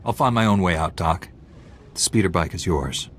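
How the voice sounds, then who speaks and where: An actor playing a male Jedi Knight hero in a game cutscene, speaking in a steady, commanding way. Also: low-pitched, normal speed, slight background noise. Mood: resolute. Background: game music.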